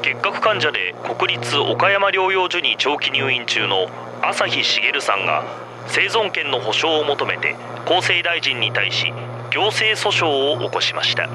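An actor playing a formal 1950s radio newsreader in a drama, announcing in a clipped manner. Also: male voice, fairly high pitch, big pitch swings, measured pace. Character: formal mid-century Japanese radio newsreader. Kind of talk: 1950s radio news reading. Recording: noisy old radio broadcast sound.

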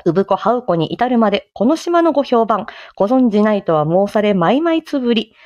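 A woman performing a boastful old-time street peddler, reciting a theatrical sales pitch in a declamatory, drawn-out, projected style. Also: big pitch swings, a little mellow, dull audio, natural voice. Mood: rousing, showy.

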